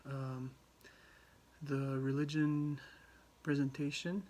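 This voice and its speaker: A young man from Albania giving a class presentation, halting often with ums and restarts. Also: medium pitch, little pitch movement, darkish tone. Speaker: student presenter.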